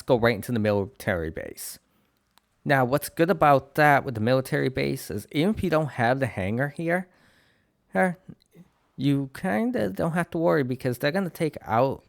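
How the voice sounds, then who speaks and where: A man with a New England accent and a slight lisp, talking through a video game mission in a relaxed, rambling way while fighting a cold. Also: mid-range voice, gravelly, dry recording, studio quality. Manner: relaxed and rambling, many "uh"s and restarts. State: has a cold, clearing his throat. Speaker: game guide creator. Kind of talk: casual gameplay walkthrough commentary.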